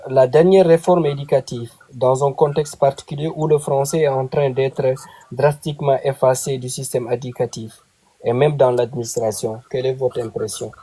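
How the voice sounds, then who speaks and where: A man's voice: mid-range voice, warm tone. A man hosting an online panel debate, putting audience questions to his guest with frequent hesitations. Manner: hesitant, many fillers. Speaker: panel host, moderator.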